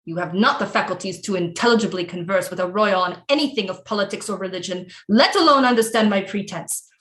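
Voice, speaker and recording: A woman's voice: medium pitch, quick pace, fairly bright tone, lightly acted. A woman playing an imperious, lovelorn French queen in a theatrical period audio drama, with haughty, grandiose formal diction. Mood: haughty, scornful.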